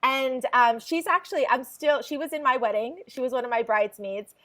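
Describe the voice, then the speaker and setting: Chatty woman with a higher voice. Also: fairly quick, a bit bright. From New Jersey. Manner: chatty, laughs often, restarts phrases. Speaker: podcast guest. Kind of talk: casual interview chat.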